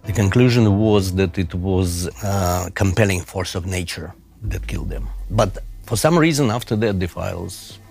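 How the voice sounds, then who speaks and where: A middle-aged man with a Russian accent, a professor giving measured, deliberate interview answers with occasional fillers. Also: sits low, vocal fry, darkish tone, slightly rough, soft background music. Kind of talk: interview answers, recounting a case.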